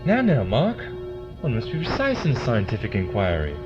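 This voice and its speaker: A man's voice: mid-range voice, wildly swooping pitch, moderate pace. A man playing a pedantic, genial eccentric scientist in a science fiction audio drama, lecturing with fussy precision and understatement. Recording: lo-fi audio under loud music.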